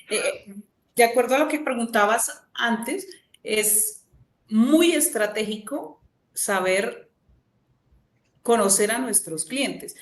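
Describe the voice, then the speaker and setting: Panelist, woman, higher voice, measured and explanatory, echoey room sound. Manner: measured, explanatory, pauses with fillers. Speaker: panelist from a vacation company. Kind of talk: webinar panel talk.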